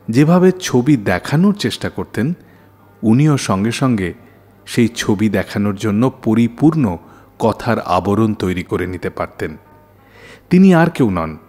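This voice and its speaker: Male radio host, animated pitch swings, scripted storytelling, deliberate dramatic pauses, music behind. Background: background music.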